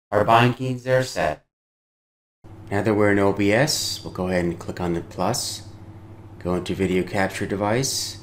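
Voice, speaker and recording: A middle-aged man narrating a software tutorial as its host. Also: fairly low pitch. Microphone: close desktop microphone. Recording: clear background noise.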